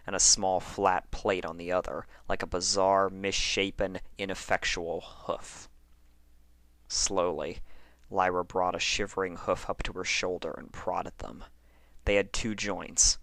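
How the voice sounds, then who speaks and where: Low male narrator, bright crisp edge, dramatic horror storytelling, dry close sound. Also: no echo. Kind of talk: scripted narration of horror fan fiction.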